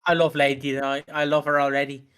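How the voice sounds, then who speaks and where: A man's voice: a little high, little pitch movement, fairly quick, a bit bright, smooth. A man co-hosting a casual podcast about a TV soap, joking around and repeating playful catchphrases. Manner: jokey, chants playful catchphrases. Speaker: podcast co-host.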